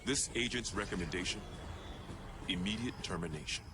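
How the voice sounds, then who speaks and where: Man playing a low-voiced, grave military investigator. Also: background noise, close to an everyday voice. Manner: measured, clinical, serious. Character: grave military intelligence investigator delivering report. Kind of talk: scripted video game briefing narration.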